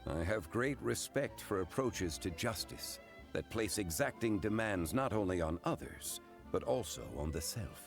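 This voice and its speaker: A man playing a solemn, dignified statesman in a video game, speaking in a formal, measured, grave manner. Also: fairly low pitch, expressive, gravelly, soft background music. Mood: solemn, respectful.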